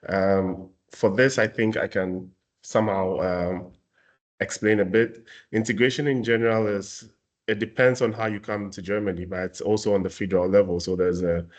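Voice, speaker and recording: Deep-voiced man from Ghana, hesitant. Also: fairly even intonation, moderate pace. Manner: hesitant, many fillers. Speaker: talent services staff presenting a webinar. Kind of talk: casual webinar presentation.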